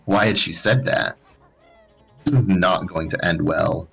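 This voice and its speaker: A man's voice: deep voice, measured pace, gravelly, a bit bright, breathy edge, faint music behind. A man narrating fan fiction as a live dramatized comedic reading.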